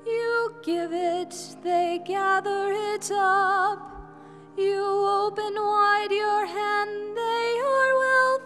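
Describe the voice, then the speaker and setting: A woman cantor singing a psalm at a church lectern with slow, sustained liturgical phrasing. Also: very high-pitched, fairly even intonation. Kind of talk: sung responsorial psalm. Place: large church, indoors. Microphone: lectern microphone. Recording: long church reverb, loud organ.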